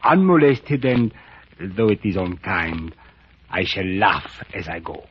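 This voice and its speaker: A man's voice: low voice, slow, slight background noise. An actor playing a sinister, silky, mockingly courteous cult priest in an old-time radio drama, drawing out his words with theatrical relish. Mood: amused, taunting. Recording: muffled vintage radio recording.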